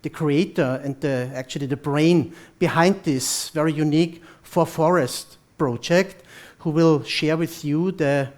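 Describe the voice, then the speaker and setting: A man hosting an event who introduces the guest speaker in a hesitant way, with many fillers and repeated words. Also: on the high side. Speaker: event host.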